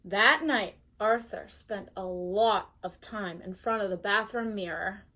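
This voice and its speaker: A woman teacher reading a storybook aloud expressively and pausing to put questions to her young listeners. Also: medium pitch, pushed and bright. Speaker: teacher reading a bedtime story to first graders.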